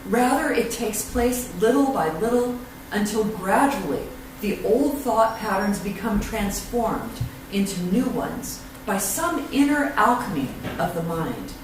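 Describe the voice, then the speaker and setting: A woman leading a church invocation and readings in a prayerful, unhurried, affirming way. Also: mid-range voice, very animated. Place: church sanctuary. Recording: echoey room, far from mic, noisy.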